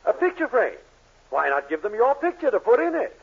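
A man's voice: very high-pitched, wildly swooping pitch, a little fast, gravelly, slightly rough, full character voice. A man playing a pompous, tight-fisted drugstore owner in a 1940s radio comedy, avuncular and exclamatory. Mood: smooth, persuasive. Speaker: sitcom actor. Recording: muffled, hissy 1940s radio recording.

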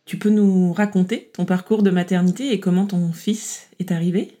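A woman interviewer asking relaxed, conversational questions. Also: fairly low pitch, moderate pace.

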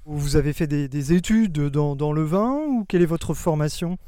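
Male interviewer, mellow tone, slightly high pitch, dry sound, short curious questions. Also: lively intonation, moderate pace, no room sound. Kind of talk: casual interview.

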